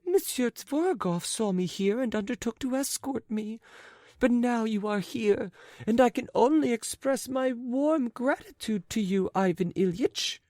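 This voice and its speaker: A woman's voice: on the high side, animated, a little slow, mellow, no room sound, studio quality. A woman voicing a flighty, chattering society lady with breathless, fluttery speech. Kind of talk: audiobook character voice.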